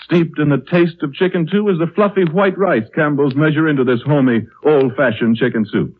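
A man's voice: a little high, slightly rough. An American man announcing a sponsor's script in a polished, persuasive 1930s radio style. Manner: polished, persuasive sales delivery. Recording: tinny 1930s radio recording.

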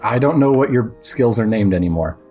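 A man running a tabletop game as game master, narrating casually and haltingly in a conversational way. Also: medium pitch, lively intonation, darkish tone, slightly rough, dull audio. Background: music.

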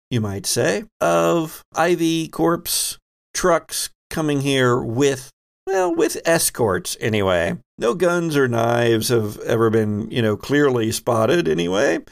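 Slow-paced man running a tabletop game. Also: fairly high pitch, gravelly. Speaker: game master and host of a tabletop role-playing show.

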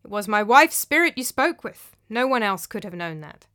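Woman playing a forceful, brooding Greek tyrant. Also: mid-range voice, sing-song, moderate pace. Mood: commanding. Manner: commanding, abrupt. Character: angry, brooding male tyrant of ancient Greece.

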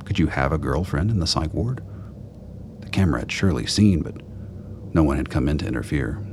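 Very deep male narrator. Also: lively intonation, a little fast, a little mellow, a little hiss or hum. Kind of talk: scripted story narration for a radio play.

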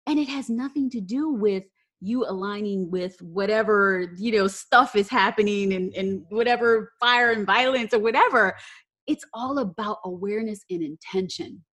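A woman's voice: mid-range voice, normal speed, fairly bright tone. A woman hosting a podcast, bubbly and effusive, wrapping up an episode and reading her outro. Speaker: podcast host. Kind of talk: casual podcast chat and scripted outro.

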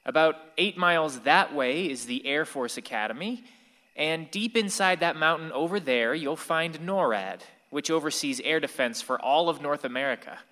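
A man's voice: on the high side, moderate pace, pushed and bright. An actor playing an angst-ridden sixteen-year-old boy who narrates a radio play in a reflective way. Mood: storytelling. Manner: reflective, storytelling to the listener. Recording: reverberant hall.

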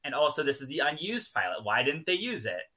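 A man's voice: medium pitch, sing-song, brisk, bright, forceful tone. A man co-hosting a podcast, recapping and chatting casually with wry asides. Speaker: podcast co-host. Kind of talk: casual chat and episode recap.

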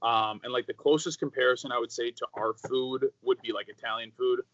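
A young man in his twenties, raised speaking Croatian at home, tells stories in a loose, rambling way full of fillers. His voice sits in a middle pitch, recorded close and dry with a slightly muffled tone. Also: a little fast, dry recording. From United States. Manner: rambling, many "like" fillers, animated storytelling. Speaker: guest. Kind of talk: casual interview chat.

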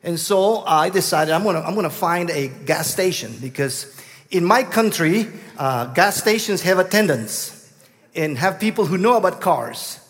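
A middle-aged man with a Guatemalan Spanish accent tells a long story from the pulpit. His voice sits a little high, and he halts and restarts often. The sound rings with the long reverb of a church hall. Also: animated, fairly bright tone. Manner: halting, many restarts. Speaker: guest preacher. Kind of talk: storytelling sermon.

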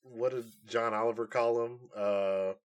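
A man's voice: mid-range voice, fairly even intonation, a little slow. A man co-hosting a podcast, talking in a casual, informal and conversational way about entertainment. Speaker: co-host of a nerd news podcast. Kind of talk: casual chat.

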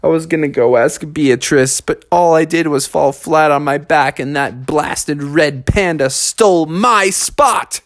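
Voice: medium pitch, a little slow, few pauses, no echo, studio quality, natural voice. A storyteller voicing an earnest, clumsy young male frog who stammers, pleads and gets frantic. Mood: glum.

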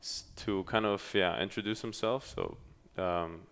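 A man from Germany hosting a podcast, speaking in a halting way with many pauses and restarts. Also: deep voice, gravelly, a bit bright, smooth. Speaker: podcast host. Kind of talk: casual podcast chat.

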